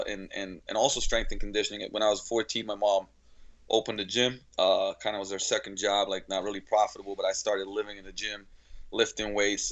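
A man from Slovenia speaks English as a podcast guest, in a low-key lo-fi recording with a slightly dull sound. He talks informally, telling stories in a halting, repeating flow. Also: a bit bright. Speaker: podcast guest, strength coach and gym owner. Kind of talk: casual conversational storytelling.

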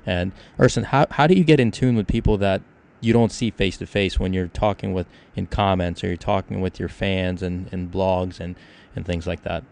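A man's voice: deep voice, normal speed, vocal fry, darkish tone. A man from Ukraine hosting a casual discussion, talking things through with examples. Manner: conversational, explains with examples. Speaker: podcast host.

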